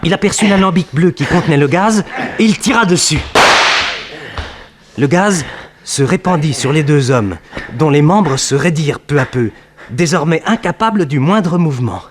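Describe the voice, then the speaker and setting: An actor playing an earnest detective's sidekick, narrating a 1960s French radio serial in a measured, dramatic storytelling style. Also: male voice, fairly high pitch, lively intonation, close to an everyday voice. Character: earnest male detective's sidekick recounting adventures.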